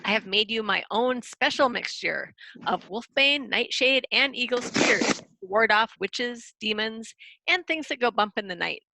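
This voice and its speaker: Proud royal wizard acted by a woman, highish creaky voice, declamatory, defensive, storybook readers theater. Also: animated, a little slow, very noisy. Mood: boastful. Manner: declamatory, listing grandly.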